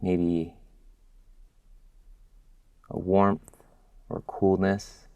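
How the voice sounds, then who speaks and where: A man guiding a body scan meditation in a gentle, calm, unhurried manner with long pauses between phrases. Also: deep voice, no room sound, highs cut off. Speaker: meditation guide.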